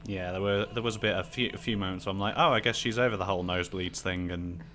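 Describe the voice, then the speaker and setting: A man's voice: sits low, steady tone, fast, noisy background. A man hosting a question-and-answer discussion in a casual, conversational style. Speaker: host of a Q&A discussion. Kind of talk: casual chat. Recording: music playing behind the voice.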